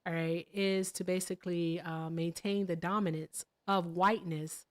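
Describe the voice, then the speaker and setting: A woman's voice: lowish voice, steady tone, normal speed. A woman in a heated call-in debate, lecturing and repeating herself for emphasis. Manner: lecturing, repeats "all right".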